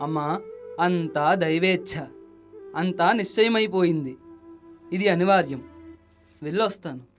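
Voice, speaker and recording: A man's voice: sits high, measured pace, over music. A man playing a humbled, resigned former gambler turning monk in a radio drama. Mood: solemn resignation. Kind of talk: Indian radio drama acting in classical play.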